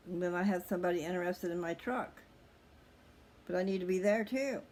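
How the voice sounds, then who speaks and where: A man's voice: high voice, a little fast. A middle-aged man talking casually and candidly in a rambling, reflective personal monologue, addressing his listeners directly. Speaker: host of a personal video channel. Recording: clearly audible background noise.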